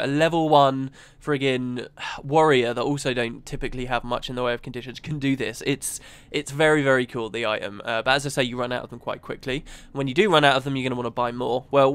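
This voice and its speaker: A man with a cold narrating a gameplay guide, explaining things step by step in a relaxed, chatty way. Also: mid-range voice, clean tone. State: has a cold, sniffly. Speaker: gaming channel host.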